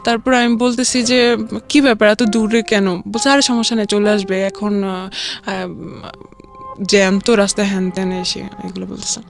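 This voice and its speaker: A woman's voice: medium pitch, flat delivery, normal speed, gravelly. A young woman with a Bangladeshi accent tells a personal story in a casual, conversational way, often acting out quoted dialogue. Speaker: guest telling a personal life story.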